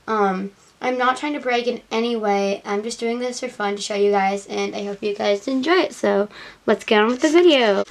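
This is a young female vlogger with an American accent and a slightly high voice. She talks in a chatty, informal way, sprinkling in "like" as she guides viewers through a casual tour at home. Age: young, child or teenager. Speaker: doll vlogger giving a house tour. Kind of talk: casual home vlog narration. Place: indoor room at home, behind the camera.

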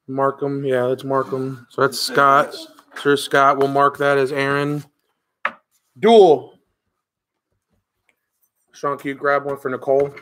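A man's voice: medium pitch, level tone, takes it slowly, long pauses, a bit bright. A man hosting a card break with friends around a table, casually calling out names and joking. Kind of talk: casual group chat.

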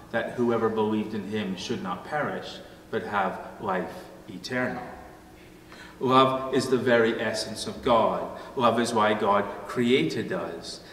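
A middle-aged or older man, a pastor, delivering a sermon with measured, deliberate phrasing. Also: lowish voice, fairly bright tone. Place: church room at a wooden pulpit. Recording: echoey church hall, distant mic, faint noise.

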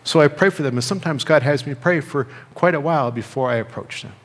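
A middle-aged American man preaching a sermon as a pastor, speaking in measured phrases with pauses and repetition. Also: fairly high pitch, a little hiss or hum. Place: church sanctuary, indoors at a lectern. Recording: reverberant church hall.

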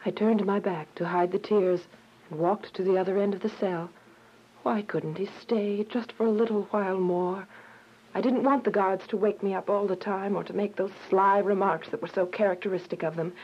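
An actress playing a devout, earnest young woman imprisoned for her faith in a religious radio drama, emotive and deliberate. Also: mid-range voice, fairly even intonation, a little hiss or hum, natural voice. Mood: wistful. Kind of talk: religious radio drama acting with first-person narration. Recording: dull lo-fi radio drama with music.

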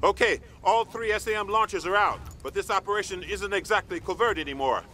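An actor playing a commanding army sergeant in a military shooter game, barking terse orders and radio jargon. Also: male voice, high-pitched, a little fast. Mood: businesslike. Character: gruff, commanding army sergeant leading a squad. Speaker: squad sergeant. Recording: loud battle and vehicle noise.

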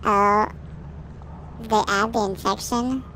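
A woman's voice: medium pitch, steady tone, takes it slowly, pushed and bright, slight background noise, poor audio. A woman playing a game with friends over voice chat, bantering playfully. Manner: playful, puts on a tiny voice. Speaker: gaming teammate. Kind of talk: casual gaming banter.

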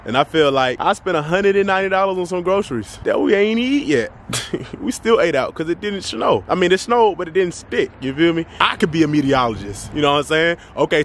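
Fast-talking young man joking on camera. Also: on the high side. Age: young adult. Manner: playful, teasing, rapid banter. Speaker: vlogger. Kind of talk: joking casual vlog chat. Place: car interior and outdoors. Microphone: handheld camera at arm's length. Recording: noisy car and outdoor recording.

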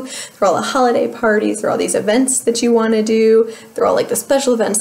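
A woman's voice: a little high, a little mellow. A young woman with an American Midwest accent chatting casually in a beauty vlog, rambling and laughing at herself, recorded on a webcam in a small room at home. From Midwest United States. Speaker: beauty vlogger. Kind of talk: casual chatty product update.

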